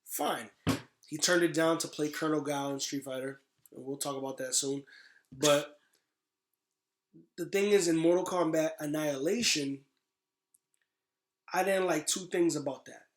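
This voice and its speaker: A man co-hosting a podcast, chatting casually in an emphatic, slangy, opinionated way like an excited fan. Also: fairly high pitch, moderate pace, long pauses, roomy sound. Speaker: podcast co-host. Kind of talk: casual chat about games and movies.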